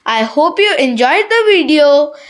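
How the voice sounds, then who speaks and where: A woman's voice: sits high, expressive, a little slow. A woman hosting an interview, asking questions politely and formally. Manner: polite, formal, addresses guest as ma'am. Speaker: interviewer and channel host.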